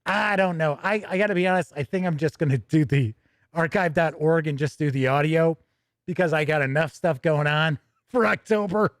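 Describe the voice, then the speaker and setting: A man's voice: fairly high pitch, moderate pace, no room sound, clean studio sound. A middle-aged American man hosting a podcast in casual, rambling chat, often breaking off and restarting his thoughts. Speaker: podcast host.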